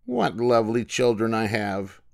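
Grandpa voicing a high-pitched koala mother. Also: very animated, unhurried, creaky, full character voice. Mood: cheerful. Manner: sensible, coaxing, sighing parent. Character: mother koala, patient but exasperated, cheerfully embarrassing.

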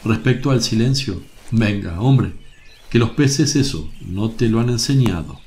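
A man acting a serene, affectionate master teacher in a religious radio drama, gentle, solemn and reassuring. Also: on the low side, lively intonation, measured pace. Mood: affectionate, encouraging. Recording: noisy, birdsong ambience behind.

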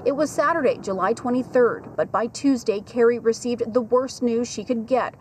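A woman field reporter delivering a live TV news report outdoors with a handheld microphone, in a measured, clear broadcast manner. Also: a little high, a little hiss or hum. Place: outdoors at a rehab facility at dusk. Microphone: handheld station mic.

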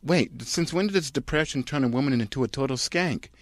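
Man doing a whiny, high-pitched young bride. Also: a little fast, no echo, clean studio sound, close to an everyday voice. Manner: ditzy, filler-laden slang. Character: whiny, dim young bride, valley-girl talk.

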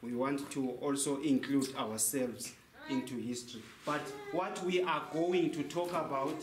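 A man's voice: medium pitch, fairly even intonation, measured pace. Young man guiding a tour in an echoey cave. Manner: explains with questions and answers. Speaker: tour guide. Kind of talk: guided cave tour talk. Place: large cave, indoors. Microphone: handheld mic, camera a few meters away. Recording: echoey cave, faint noise.

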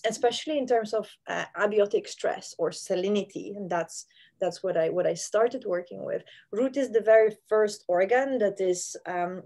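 A woman, a scientist explaining her research in a virtual interview, hesitant with many fillers. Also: mid-range voice. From Poland. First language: Polish. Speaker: plant scientist guest. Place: home room with plants. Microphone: video call.

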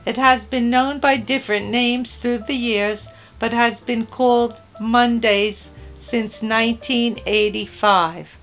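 Slow-spoken older woman narrator. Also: fairly high pitch, fairly even intonation, a bit bright, soft background music. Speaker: channel host. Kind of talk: scripted voice-over narration.

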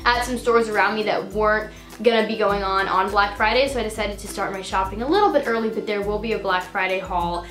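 A woman's voice: mid-range voice, fairly quick, a bit bright, roomy sound, faint music behind. A teenage girl chatting in a casual shopping haul vlog, gushing and full of fillers, a little stuffed up and losing her voice. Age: teens. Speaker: vlogger. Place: bedroom.

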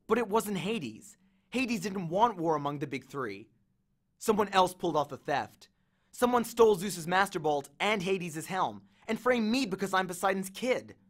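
A man's voice: sits high, fairly bright tone, exaggerated voice. A man voicing an earnest, defiant young boy hero with a blunt, accusing way of talking, as an audiobook character. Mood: grim realization.

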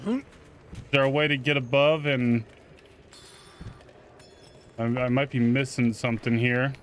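A man giving casual first-look game commentary, excitable and sweary, reacting aloud and chatting to his viewers. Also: mid-range voice, unhurried, a little hiss or hum. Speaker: gaming video host.

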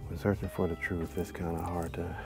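A man's voice: low voice, level tone, a little fast. A man in his forties, a travel vlogger, musing aloud with fillers while browsing a large library. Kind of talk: casual vlog commentary. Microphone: handheld or chest-mounted action camera. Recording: clear background music under voice. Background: background music.

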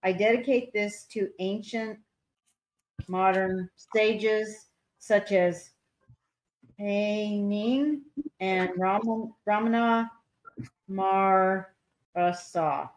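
A woman's voice: medium pitch, extremely slow, long pauses, low-quality recording. A woman on a video call reading artwork descriptions aloud at a public meeting, stumbling over unfamiliar names. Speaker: public arts commissioner.

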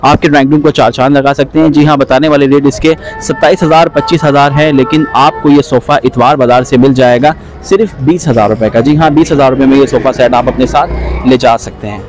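A man hosting a walking vlog at an outdoor street market, cheerfully pitching furniture and repeating prices back to the viewer. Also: fairly even intonation, quick pace, faint music behind, rough recording. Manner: upbeat promotional patter, repeats prices back. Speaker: vlog host. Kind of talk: walking market tour, bargaining with sellers.

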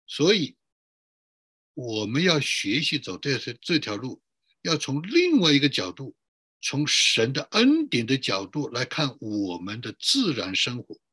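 A man preaches as a pastor, his voice fairly high and bright with a husky edge. He is emphatic, stacking short repeated phrases and questions as he teaches. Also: no echo, clean studio sound. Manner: emphatic, repetitive listing. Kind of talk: sermon, teaching with rhetorical questions.

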